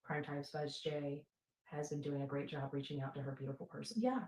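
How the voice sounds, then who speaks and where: A woman's voice: low voice, brisk, darkish tone. A woman hosting a casual livestream for followers, rambling and repeating herself for emphasis. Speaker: livestream host and advocate. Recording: roomy, echoing livestream replayed.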